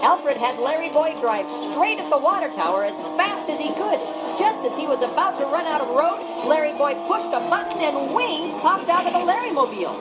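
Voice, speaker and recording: A woman narrating a read-along storybook in an expressive, dramatic way. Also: sits high, normal speed, few pauses, rough recording. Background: background music.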